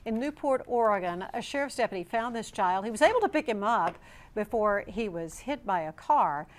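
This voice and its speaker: A woman's voice: mid-range voice, expressive, moderate pace, slight background noise. A woman anchoring a television newscast, reading scripted stories in a formal news delivery.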